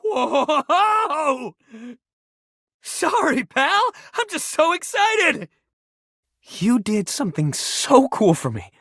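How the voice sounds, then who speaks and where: An actor playing a sports-obsessed, warm-hearted young jock pal in a video game, with exuberant, slangy bro talk. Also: male voice, very high-pitched, big pitch swings, very slow, gravelly, no room sound, clean studio sound, exaggerated voice. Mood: excited. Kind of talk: video game character acting.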